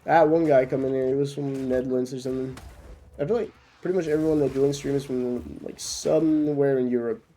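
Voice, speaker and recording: A man's voice: mid-range voice, fairly even intonation, brisk, dark tone. A young man from Norway who is sick with a cough, chatting loosely with viewers while streaming a game, with lots of fillers and restarts. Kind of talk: casual chat with stream viewers while gaming.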